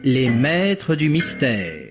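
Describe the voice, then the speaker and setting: Man, radio announcer, unhurried formal credits reading, prominent music behind, muffled old broadcast. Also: mid-range voice, big pitch swings. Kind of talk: scripted programme credits announcement. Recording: dull vintage radio, loud music. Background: prominent theme music.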